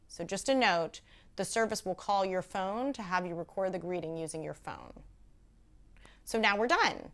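A woman in her thirties presents a how-to video on a lapel mic. She speaks at a medium pitch in an instructional way, going step by step and dropping in conversational asides. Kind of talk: step-by-step tutorial to camera.

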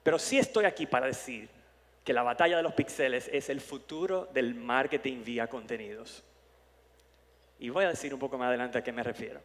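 A man's voice: mid-range voice, a little fast. A middle-aged man born in New York with Dominican parents, giving a jokey, playful keynote talk on a conference stage through a headset mic. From born in New York, parents from the Dominican Republic. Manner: playful, jokey, self-promoting. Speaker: conference speaker. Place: large conference hall stage. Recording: long hall reverb.

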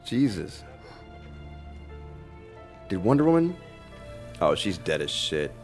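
A man's voice: low-pitched, sing-song, slow, faint music behind. A man giving casual, slangy, profane and incredulous reaction commentary on a video game. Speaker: gaming commentator.

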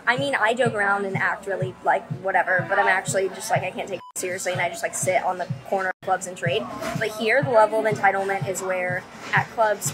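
A woman's voice: mid-range voice, low-quality recording. Candid young woman vlogging with music behind. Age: young adult. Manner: candid, swears freely, drawn-out casual fillers. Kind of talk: casual vlog chat to camera. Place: holiday villa, indoors and on sunny terraces. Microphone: handheld phone at arm's length.

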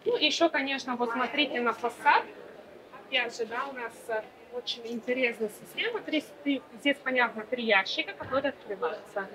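A woman's voice: sits high, moderate pace, crowd noise, rough recording. A woman in her thirties presenting furniture at a fair stand, explaining fluently with many small fillers. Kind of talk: product demonstration.

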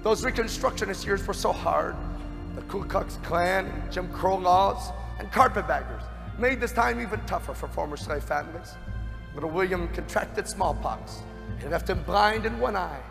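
Actor as fervent gentleman narrator, high creaky voice, solemn preacherly emphasis, echoing hall, music behind. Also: normal speed. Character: fervent early-1900s revival witness, dignified gentleman narrator. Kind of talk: theatrical monologue in a stage play. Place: stage of a large dark theatre.

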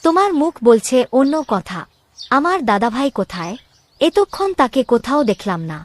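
A woman's voice: on the high side, very animated, moderate pace. A woman playing a caring, anxious young royal woman in a cartoon drama, speaking earnestly and expressively. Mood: curious, concerned. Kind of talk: dramatic Bengali cartoon voice acting.

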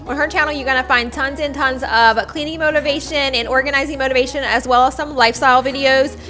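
A young woman with a high voice narrates her home cleaning routine as a voice-over. She sounds chatty, friendly and upbeat. Soft music plays faintly under her words. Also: steady tone, fast talker. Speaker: cleaning vlogger, stay-at-home mom. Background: faint background music.

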